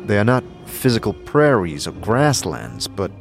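An actor playing a calm, curious wanderer in a fantasy audio drama, speaking in a measured, conciliatory way. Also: male voice, lowish voice, creaky, full character voice. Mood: inquisitive, explaining. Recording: music behind the voice.

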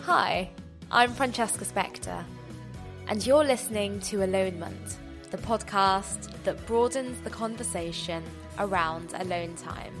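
Soft-spoken woman podcast host. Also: mid-range voice, measured pace, over music. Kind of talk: scripted intro and interview questions.

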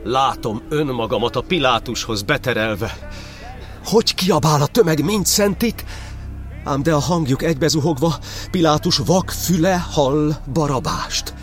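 A man's voice: on the high side, soft background music, natural voice. A man playing an imprisoned aged cardinal, a proud and devout martyr, declaiming solemn verse in a radio monodrama with dramatic swings. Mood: fervent pleading.

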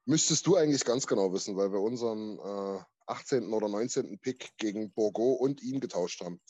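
A man's voice: on the low side, fairly quick, darkish tone, breathy edge, no room sound. A man co-hosting a casual sports podcast, talking in a chatty, rambling way with frequent restarts. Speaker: podcast co-host.

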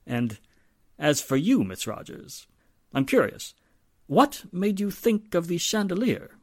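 A man's voice: high-pitched, big pitch swings, measured pace, no room sound, clean studio sound, full character voice. A man playing a stuffy, hypocritical wealthy father, formal and pompous, in a 1940s-style radio drama. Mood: composed, formal.

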